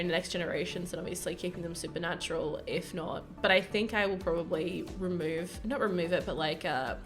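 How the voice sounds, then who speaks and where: A young woman chatting casually over a game build video, rambling and giggly with lots of fillers, her voice croaky from early morning. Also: on the low side, fairly bright tone. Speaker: gaming YouTuber narrating a build.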